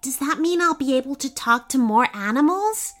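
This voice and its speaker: Woman voicing a cheerful, high-voiced helpful girl. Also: very animated, unhurried, a bit bright, heavily acted. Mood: curious, hopeful. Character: cheerful, kind-hearted curious human girl.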